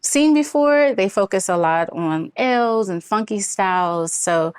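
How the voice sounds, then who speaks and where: A woman's voice: mid-range voice, expressive, unhurried, clear, resonant tone, dry recording. A woman telling her story as an interview guest in an informal way, often saying "like" and "you know". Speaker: podcast guest, brewery founder.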